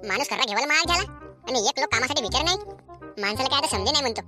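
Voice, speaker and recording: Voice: sits high, lively intonation, very fast. A performer voicing a crude, frustrated village bachelor in a comic cartoon, grumbling and swearing in rural Vidarbha Marathi slang. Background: music.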